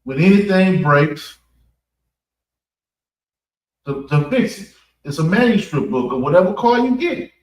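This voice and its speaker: An American man giving an off-the-cuff religious address, halting and repeating phrases for emphasis. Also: sits high, animated. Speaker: preacher giving a public address at a mosque. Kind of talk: extemporaneous religious talk. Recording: echoey room, distant mic.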